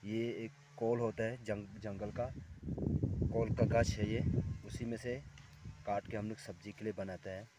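A man's voice: lowish voice, level tone, very noisy. A young man casually explaining his cooking to viewers, speaking into a close phone camera outdoors on a forested hillside. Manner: plain, unhurried explaining, addresses viewers directly. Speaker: cooking vlogger. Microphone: phone camera held close.

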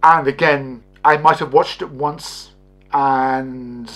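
A man in his forties giving a casual spoken review to camera, halting and often tagging statements with "okay?". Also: medium pitch, fairly even intonation, fairly bright tone. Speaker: host, film reviewer. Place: small indoor set with curtain backdrop.

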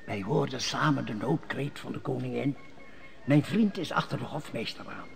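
A man's voice: medium pitch, moderate pace, gravelly. An actor playing a scheming male court elder who speaks in sly riddles, in an old radio play. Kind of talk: 1950s Dutch radio drama acting.

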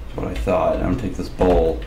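A man with a slightly raspy voice giving casual commentary over his gameplay, mumbling asides as he plays. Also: sits low, little pitch movement, fast talker, dark tone, echoey room, a little hiss or hum. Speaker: gaming channel host.

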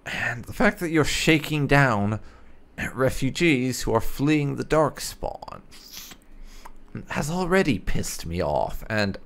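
Slow, creaky-voiced man playing games. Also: mid-range voice, big pitch swings, fairly bright tone. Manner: sardonic, swears casually. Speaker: gaming video host. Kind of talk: casual game commentary with light roleplay.

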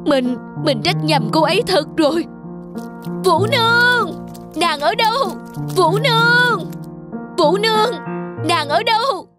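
A woman voicing a jealous, hot-tempered young husband in an animated folk tale, with an accusing, emphatic manner. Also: very high voice, takes it slowly, pauses often, pitched above the performer's own voice, exaggerated voice. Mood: anguished regret. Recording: music under the voice.

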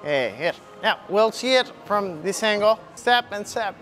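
A man's voice: high-pitched, lively intonation, normal speed, over music. A young man working as a kickboxing coach, giving step-by-step instruction while demonstrating punches. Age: young adult. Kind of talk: instructional demonstration with short cues. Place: large indoor MMA gym. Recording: clearly audible background ambience noise.

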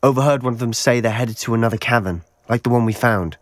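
An actor playing a blunt young wizard student who pushes a friend for answers. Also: male voice, mid-range voice, fast, no room sound, studio quality, lightly acted. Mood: impatient.

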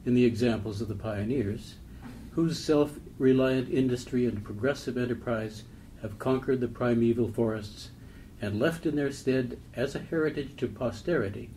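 Mellow-voiced man reading poetry, noisy recording. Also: mid-range voice. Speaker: poetry reader. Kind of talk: literary poem reading.

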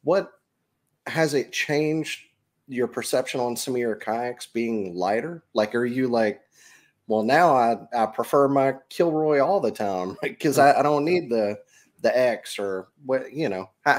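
Middle-aged male podcast host on a webcam, halting, keeps rephrasing his questions. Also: mid-range voice, animated. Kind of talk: casual video-call interview. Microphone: video call webcam mic.

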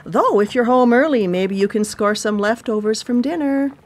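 An actress playing a brisk, sardonic older sister and proud home cook in a contemporary radio drama, with a dry, teasing manner. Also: female voice, a little high, close to an everyday voice.

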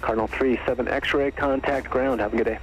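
A man's voice: mid-range voice, fairly even intonation, fairly quick, soft background music. A man working as an air traffic controller, giving clipped instructions through aviation radio. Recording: noisy aviation radio transmission.

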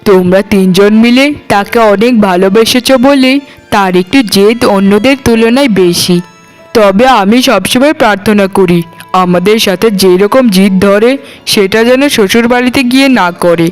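A sensible eldest sister-in-law from a family cartoon voiced by a woman. Her voice is crisp and medium in pitch, with an earnest, measured, admonishing delivery. Soft music runs behind it. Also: natural voice, rough recording. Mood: reproachful. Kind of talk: scripted cartoon dialogue.

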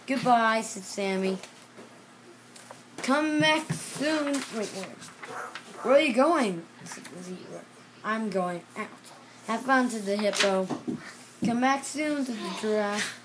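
A child reading a children's storybook aloud in a halting way, repeating and correcting words. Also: medium pitch, background noise. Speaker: reader.

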